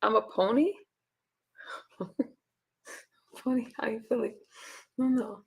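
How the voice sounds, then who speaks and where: A hoarse woman hosting a casual livestream, rambling and talking straight to her chat. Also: fairly high pitch, unhurried, a little mellow. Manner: rambling, talks to the chat directly. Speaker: livestream host.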